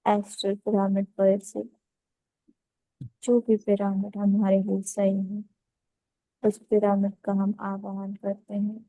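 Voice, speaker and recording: A woman leading a guided meditation, giving calm, unhurried instructions in short phrases with pauses. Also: medium pitch, no echo, low-quality recording.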